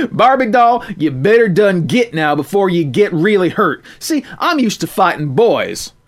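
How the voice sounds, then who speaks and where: A man's voice: high-pitched, very animated, unhurried, pitched above the performer's own voice, heavily acted. A man voicing a tough fourteen-year-old country girl who talks in a rural drawl with folksy sayings. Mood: defiant.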